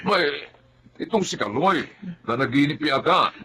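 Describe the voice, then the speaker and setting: A man's voice: on the high side, lively intonation, a little slow, bright, forceful tone, slightly rough, heavily acted. A man acting a kindly, reassuring middle-aged uncle in a family drama, fatherly and folksy, with a Filipino accent. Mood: puzzled.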